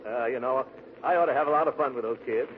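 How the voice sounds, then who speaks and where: A man's voice: medium pitch, fast talker, lightly acted. A man playing a pompous, hearty middle-aged uncle, jovial but easily irked, in 1940s radio comedy. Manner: pompous, hearty, chuckling delivery. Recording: tinny, hissy 1940s radio recording.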